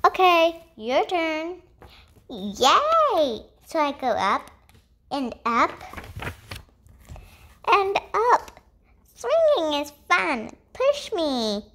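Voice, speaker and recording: A little girl unicorn from a picture book, played by a woman, eager and playful. The voice is very high and swings up and down, with bouncy exclamations. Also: wildly swooping pitch, drawn-out words, pauses often, sharp tone, a little hiss or hum, full character voice. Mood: excited. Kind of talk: children's picture book read-aloud.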